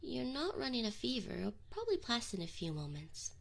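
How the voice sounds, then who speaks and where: A woman's voice: a little high, big pitch swings, brisk, gravelly, exaggerated voice. A woman voicing a teasing, flustered but affectionate succubus in an intimate ASMR roleplay, close to the microphone with whispered asides. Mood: concerned, soft. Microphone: close binaural microphone.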